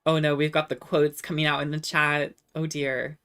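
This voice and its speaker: A young woman with a drifting Californian accent talks in a low, smooth voice. She meanders through viewer comments, full of ums and restarts and a feverish edge. Faint music plays under her. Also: little pitch movement, normal speed, fairly bright tone. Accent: Californian American, shifted by time abroad. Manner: rambling, many ums and restarts, laughs often. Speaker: streamer. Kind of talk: casual live-stream chat reading comments.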